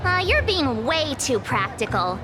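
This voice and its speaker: A woman's voice: high-pitched, sing-song, measured pace, over loud music. A woman voicing a cheerful, chatty, playful young adventurer in a video game. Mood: teasing.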